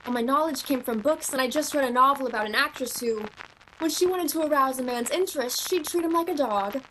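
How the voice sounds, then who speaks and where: Woman acting, romantic young female shop clerk, high voice, expressive radio drama delivery, faint background noise. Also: a little fast, low-quality recording. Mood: confiding, earnest. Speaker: amateur actor.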